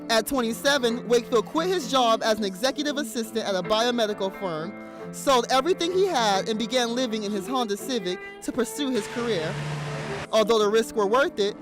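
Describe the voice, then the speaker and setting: A man's voice: extremely high pitch, lively intonation, a little fast, music in the background. A man reading scripted news narration as a reporter.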